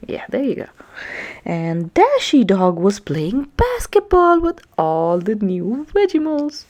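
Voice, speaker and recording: A woman's voice: medium pitch, wildly swooping pitch, slow pace, darkish tone. A woman reading a children's story aloud, chatting and putting questions to young listeners as she explains.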